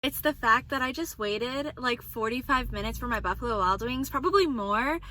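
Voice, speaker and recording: A woman in her early twenties talks straight to her phone camera in a loose, rambling way, dropping "like" into almost every sentence. Her voice sits a little on the high side. A faint hiss runs under the recording. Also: moderate pace, bright, forceful tone. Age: 20s. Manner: chatty, rambling with many "like" fillers. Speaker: vlogger. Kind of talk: casual vlog chat. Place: indoors and in a car.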